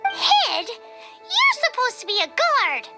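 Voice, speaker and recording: A woman voicing a tiny, excitable childlike companion sprite in a video game, squeaky, nosy and cheeky. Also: takes it slowly, heavily acted. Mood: excited. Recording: loud music under the voice.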